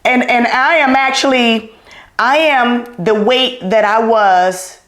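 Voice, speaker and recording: A middle-aged woman hosting a podcast, excited and loud, repeating herself as she chats without her mic on. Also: a little high, slow. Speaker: podcast host. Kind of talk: casual podcast chat. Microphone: not wearing her clip-on mic. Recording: roomy echo.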